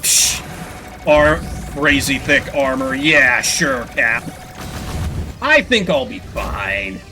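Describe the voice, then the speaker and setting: A young man streaming a video game, giving excitable commentary and swearing casually, over game music. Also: medium pitch, slow pace, background noise. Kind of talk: gameplay commentary.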